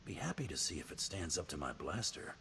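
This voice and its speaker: A hard-edged male mercenary for a video game. His voice is exceptionally deep yet moves a good deal in pitch. He stays cool and sardonic, over faint noise. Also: big pitch swings, fairly quick, creaky, a bit bright, full character voice. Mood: dry, cool. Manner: dry, sardonic. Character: dry, tough male hired gun, a cool sidekick. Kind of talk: video game dialogue acting.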